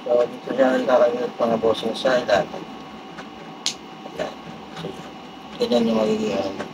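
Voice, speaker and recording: A man with a Filipino accent giving a casual, halting unboxing review with a gravelly voice. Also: medium pitch. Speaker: vlogger doing a product unboxing and test. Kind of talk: casual unboxing review, talking while handling items. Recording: clearly noisy, steady background hum.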